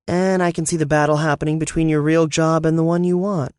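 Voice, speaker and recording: A man's voice: a little high, flat delivery, brisk, darkish tone, smooth, no room sound, studio quality, voice pushed up, lightly acted. A man voicing a teasing, confident young woman racer. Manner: playful, needling. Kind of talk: audiobook narration.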